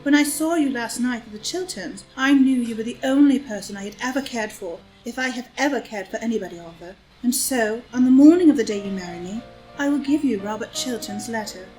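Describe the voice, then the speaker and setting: A woman's voice: on the high side, brisk, dark tone, soft background music, lightly acted, low-quality recording. An actress playing a worldly, scheming society woman with a languid, arch wit, in an audio drama reading of a stage play. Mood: coolly seductive. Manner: languid, arch, epigrammatic delivery. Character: scheming, worldly, witty society woman, cynical and coolly seductive.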